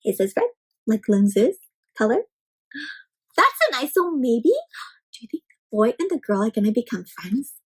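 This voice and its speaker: A woman with a high voice reads a picture book to young children. She is expressive and interactive, exclaiming over things and often putting questions to her young listeners as she goes. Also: normal speed, long pauses, slightly rough. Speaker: children's read-aloud storyteller.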